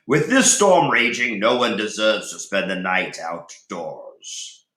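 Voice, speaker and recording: A man's voice: on the low side, expressive, slow pace, a bit bright. A man voicing a proud, eager Saxon lord from a storybook, emphatic and commanding. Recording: roomy, echoey room.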